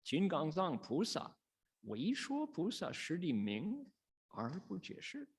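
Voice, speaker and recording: A man reciting and teaching Buddhist scripture as a monk, in a measured, dramatic storytelling manner with pauses. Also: on the high side, wildly swooping pitch, breathy edge. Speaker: Buddhist monk, sutra lecturer. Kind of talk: sutra recitation and dharma lecture.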